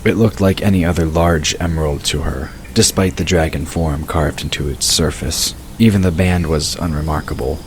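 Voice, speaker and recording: A male narrator with a remarkably low, bass voice reads a scripted fantasy tale. There is slight ambient noise behind him. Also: normal speed, few pauses.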